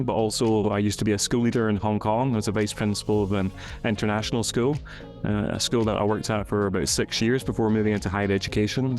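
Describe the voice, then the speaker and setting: A man in his forties with a Glaswegian Scottish accent, an education professor explaining his career in a measured, articulate way as a podcast guest. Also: fairly low pitch, a little mellow. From Scotland. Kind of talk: podcast interview, reflective explanation.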